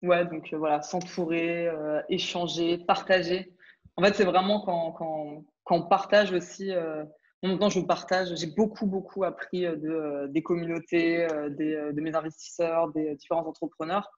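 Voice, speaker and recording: A woman's voice: on the low side, fairly even intonation, normal speed, lo-fi sound. A woman in her twenties from France, a startup founder talking casually in an interview, hesitant with many fillers and restarts. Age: 20s. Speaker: startup founder, podcast guest.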